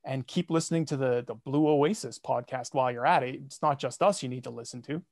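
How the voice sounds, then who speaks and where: Guy podcast guest, middle pitch, wry casual talk, dry recording with no room sound. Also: fairly quick, a little mellow, dry recording. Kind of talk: casual conversational chat.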